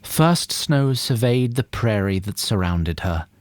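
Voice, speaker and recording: A man narrating a fantasy audio drama in a deliberate, literary storybook style. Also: fairly low pitch, lively intonation, no echo, studio quality. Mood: measured storytelling.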